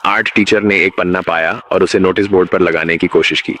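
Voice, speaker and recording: Low-voiced man narrating scripted horror. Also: steady tone, a little fast, pushed and bright, slight background noise.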